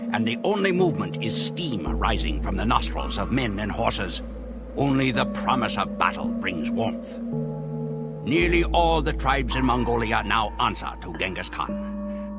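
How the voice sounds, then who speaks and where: A man voicing a grim Mongol warrior who narrates the campaign in measured, solemn storytelling for game cutscenes. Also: medium pitch, gravelly. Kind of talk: scripted dramatic narration. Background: music.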